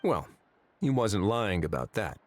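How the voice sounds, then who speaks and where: An actor playing a composed, politely probing government official in a video game, measured and quietly authoritative. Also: male voice, lowish voice, big pitch swings, faint music behind. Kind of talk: voice-acted game dialogue.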